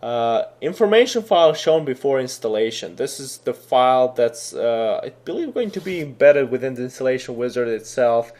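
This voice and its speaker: A man whose first language is Serbian, explaining a software installer step by step in a casual, thinking-aloud way with frequent fillers. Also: medium pitch, lively intonation, moderate pace, few pauses. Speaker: software tutorial instructor. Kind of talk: screen-recorded coding tutorial.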